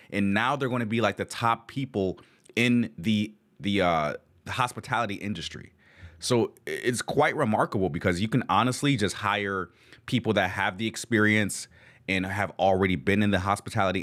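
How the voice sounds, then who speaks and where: A man's voice: lowish voice, a bit bright. A man hosting a live reaction stream, talking casually with enthusiastic slang. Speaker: channel host reacting to video.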